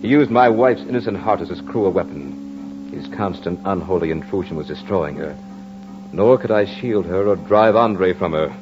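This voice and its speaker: An actor playing a tormented, devoted husband in an old-time radio horror drama, with melodramatic, theatrical delivery. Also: male voice, sits low, normal speed, natural voice. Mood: haunted, dramatic. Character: tormented, devoted husband haunted by a vengeful phantom. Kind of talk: old-time radio horror acting, first-person narration. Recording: dull vintage radio recording with music.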